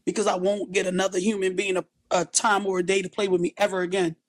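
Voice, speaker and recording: A woman in her thirties from West Philadelphia with a raspy voice, speaking bluntly and toughly about her hard past as a guest in a seated interview in a small indoor studio. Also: medium pitch, flat delivery, quick pace. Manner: blunt, tough, street-worn storytelling. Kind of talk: candid interview talk.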